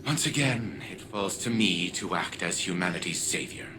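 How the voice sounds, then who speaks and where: An actor playing an arrogant, impatient scientist in a video game, giving briefings in a formal, precise, pompous way. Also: male voice, low-pitched, animated, normal speed, background noise. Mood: haughty, impatient. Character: arrogant, brooding male genius scientist, self-important and impatient.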